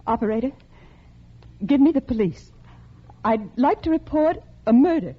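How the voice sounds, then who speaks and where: Cold, scheming wife in old radio suspense. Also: female voice, high-pitched, animated, moderate pace, background noise. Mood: smug, rueful. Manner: composed, calculating. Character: cold, scheming murderous wife, confessing and narrating her crime. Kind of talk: 1940s-1950s American radio suspense acting. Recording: muffled old radio recording with music.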